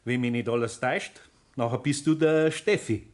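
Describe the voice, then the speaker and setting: An actor playing a patient but firm older master carpenter with a Bavarian accent, speaking in a homely, deliberate way in a 1960s radio play. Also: male voice, mid-range voice, natural voice. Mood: patient, instructing.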